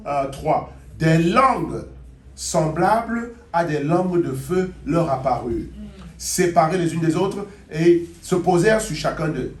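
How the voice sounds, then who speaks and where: French-speaking preacher, high voice, echoey hall. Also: male voice. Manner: animated, repeats phrases, mock gibberish for effect. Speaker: interpreter and preacher. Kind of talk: sermon with call and response. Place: church hall.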